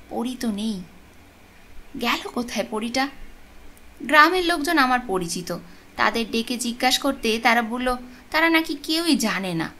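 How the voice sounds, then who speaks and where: A woman narrating a supernatural tale as a storyteller, with expressive, deliberate phrasing. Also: on the high side. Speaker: storyteller, channel host. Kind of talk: dramatic audiobook narration of a ghost story. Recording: clear background noise and ambience.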